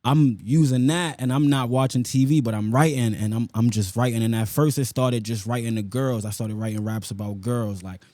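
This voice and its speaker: A man's voice: medium pitch, normal speed, few pauses, mellow, no echo. A man with a New York accent talking casually in an interview as a rapper, using street slang and frequent check-in tags. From South Bronx, New York. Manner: street slang with frequent "you know what I'm saying" tags.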